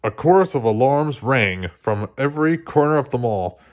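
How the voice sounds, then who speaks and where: Animated man reading a storybook. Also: medium pitch, normal speed. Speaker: storybook reader. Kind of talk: expressive read-aloud narration.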